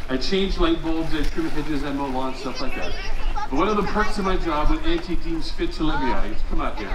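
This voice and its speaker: High-voiced playful male storyteller, echoey. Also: noisy background. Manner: playful, folksy showman with dramatic pauses. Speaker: resort storyteller. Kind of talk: live storytelling to guests. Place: outdoor poolside deck at a resort. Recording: echoey live outdoor sound, music behind. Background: musical instrument.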